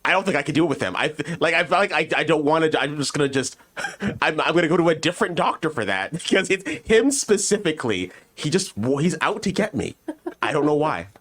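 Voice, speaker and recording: A man's voice: fairly high pitch, big pitch swings, brisk, a bit bright. A man co-hosting a podcast, telling a casual, profane, rambling story and often acting out other people's lines in mock dialogue. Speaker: podcast co-host.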